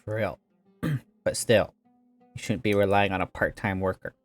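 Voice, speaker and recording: A man's voice: lowish voice, fairly even intonation, normal speed, faint music behind. A man giving casual, sarcastic, joking commentary over gameplay as a streamer.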